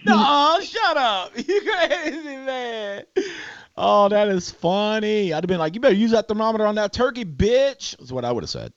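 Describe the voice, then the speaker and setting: A man in his forties hosting a radio show, bantering casually with callers in a crude, teasing, excitable way. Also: sits high, moderate pace, no echo, highs cut off. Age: 40s.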